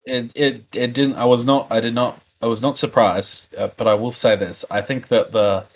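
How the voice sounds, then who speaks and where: Man, co-host, casual chat, joking, halting, mock outrage. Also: medium pitch, steady tone, highs cut off.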